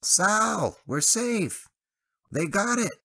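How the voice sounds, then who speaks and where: Man putting on a high, excitable young buddy. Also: measured pace, breathy edge, full character voice. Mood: relieved, excited. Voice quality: high strained voice, much raised.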